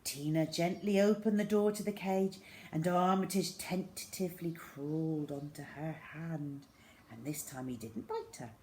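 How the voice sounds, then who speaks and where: A woman reading a children's story aloud as an expressive storyteller. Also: sits low, unhurried, a little hiss or hum.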